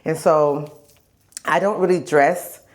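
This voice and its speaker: A woman's voice: sits low, steady tone, slow. A woman vlogger chatting casually in a candid, rambling video diary, stuffy from a lingering cold and repeating phrases for emphasis. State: stuffy, getting over a cold. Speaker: vlogger sharing health journey.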